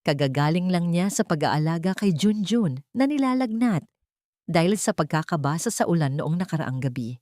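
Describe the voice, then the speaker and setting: A woman's voice: fairly low pitch, animated, moderate pace, dark tone, no echo, studio quality. A woman storyteller reading scripted, dramatic narration of a romance drama.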